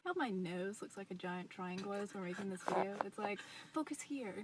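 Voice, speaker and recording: A woman's voice: medium pitch, lively intonation, fairly quick, very noisy. A woman in her twenties with an American accent, telling a personal story casually to camera in a chatty, playfully self-deprecating way. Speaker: vlogger. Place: inside a parked car at night. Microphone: phone at arm's length.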